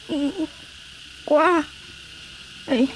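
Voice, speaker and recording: Very high, halting, whimpering wife. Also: female voice, poor audio. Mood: hesitant, embarrassed. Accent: Filipino. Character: distressed wife seeking help, hesitant and tearful. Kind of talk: radio drama comedy acting. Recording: faint background noise.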